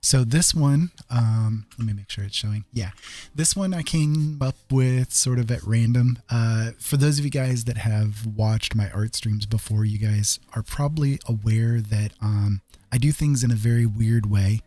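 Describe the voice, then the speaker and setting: A man's voice: mid-range voice, measured pace, mellow, no room sound. A man casually chatting to viewers as he hosts a live art stream, rambling and hesitant as he goes. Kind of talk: casual livestream chat with viewers. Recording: music playing behind the voice. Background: background music.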